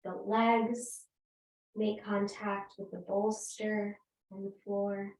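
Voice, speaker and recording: A woman yoga teacher guiding a restorative class in a gentle, unhurried, invitational way. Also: mid-range voice, flat delivery, slight background noise. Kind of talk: slow guided restorative yoga instruction. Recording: roomy echo, distant microphone.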